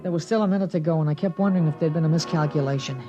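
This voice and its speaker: A man's voice: on the high side, racing, lo-fi sound, close to an everyday voice. Man playing an earnest boy narrator, music behind. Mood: hushed wonder. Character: excited, earnest small-town boy narrating a world event. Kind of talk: 1950s radio drama narration. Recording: vintage radio drama with loud music.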